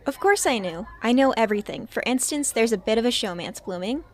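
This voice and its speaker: Woman playing breezy, higher-voiced know-it-all. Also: lively intonation, a little fast, lightly acted. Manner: breezy, teasing confidence. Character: cheerful, knowing female gossip. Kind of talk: casual dialogue in audio drama.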